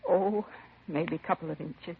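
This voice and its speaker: A woman's voice: lowish voice, steady tone, normal speed. An actress playing a composed middle-aged mother of a slain son in a 1950s radio crime drama, answering plainly and with restraint. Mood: guarded, composed. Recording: vintage 1950s radio, narrow husky sound.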